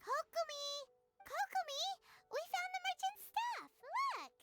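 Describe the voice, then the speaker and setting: A woman voicing a tiny, chirpy female fairy companion in a video game, speaking in excited exclamations. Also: very high voice, a little slow, over music, heavily acted. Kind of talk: game character dialogue.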